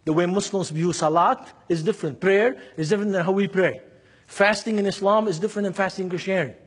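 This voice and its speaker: A man's voice: high voice, fast talker, slightly rough. A man from Lebanon with a Lebanese Arabic accent, preaching at a seminar with emphatic repetition, acted-out dialogue and rhetorical questions. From Beirut, Lebanon. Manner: emphatic, acts out dialogue, repeats key phrases.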